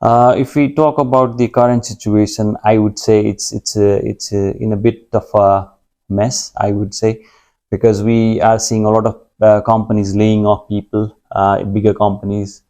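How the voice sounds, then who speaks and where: A man's voice: lowish voice, mellow. A man from Nepal in his thirties, a guest speaking in a conversational interview, hesitant with many fillers and restarts.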